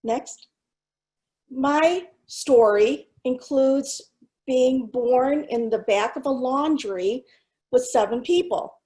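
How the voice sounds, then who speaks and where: Earnest, measured middle-aged woman speaker. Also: high-pitched, mellow. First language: Cantonese. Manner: measured, earnest, pauses with fillers. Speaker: school superintendent giving a keynote. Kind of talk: presentation with slides.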